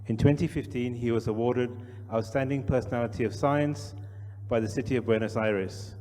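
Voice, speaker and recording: A man's voice: mid-range voice, normal speed, mellow. A man reading a formal introduction of the next speaker at a conference. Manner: reads a formal introduction, list-like. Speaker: conference presenter. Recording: long hall reverb.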